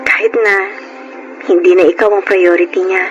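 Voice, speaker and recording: A woman playing a gentle, grateful young mother in a Filipino radio drama, speaking earnestly with a Filipino accent. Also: fairly low pitch, fairly even intonation, moderate pace, muffled recording, lightly acted. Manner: earnest, heartfelt. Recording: music bed under the voice.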